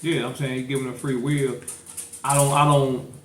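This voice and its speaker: A man's voice: mid-range voice, level tone, moderate pace, fairly bright tone. A man hosting a podcast, chatting casually with lots of fillers and restarts. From St. Louis, Missouri. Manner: rambling, many fillers and restarts. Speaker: podcast host. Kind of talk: casual political chat. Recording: echoey room.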